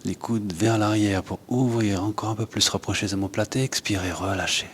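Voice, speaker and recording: A man leads a guided yoga and breathing class, giving unhurried instructions in short phrases with pauses. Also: fairly low pitch, fairly even intonation, a little hiss or hum. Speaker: yoga teacher.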